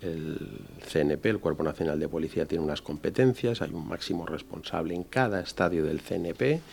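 Brief: man, 50s, from Spain, security pro, low gravelly voice, explains patiently and drives points home. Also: brisk, a little mellow, a little hiss or hum. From Barcelona, Spain. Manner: explanatory, repeats key phrases, rhetorical tags. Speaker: security company executive. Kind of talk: conversational interview answers.

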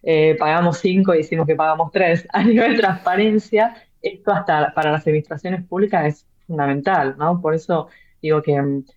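A woman's voice: sits low, a little mellow. A woman answers interview questions at length. She talks with frequent fillers and restarts. The sound is dull and lo-fi. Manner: hesitant, many fillers and repeated words. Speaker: author and blockchain real estate guest.

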